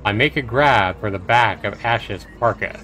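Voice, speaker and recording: A man's voice: fairly low pitch, unhurried, bright, forceful tone, slight background noise. A man livestreaming a game, reading the narration aloud and adding his own commentary. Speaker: streamer and host.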